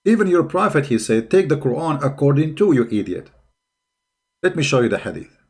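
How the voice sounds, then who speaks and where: A man's voice: on the high side, very animated, fairly quick, a little mellow. Combative man. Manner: combative, mocking, repeats phrases and asks rhetorical questions. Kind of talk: spontaneous polemical commentary.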